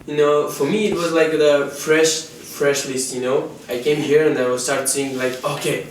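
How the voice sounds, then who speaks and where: A young man from Kazakhstan, speaking excitedly in rough English with some casual swearing. His voice is a bit high for a man. It comes from far off the mic in a very echoey room. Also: normal speed, a little hiss or hum.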